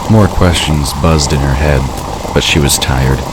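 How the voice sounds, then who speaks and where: A man's voice: rumbling low, unhurried. A man narrating scripted fantasy fiction for an audio drama, with ambient effects behind him. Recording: steady noise behind the voice.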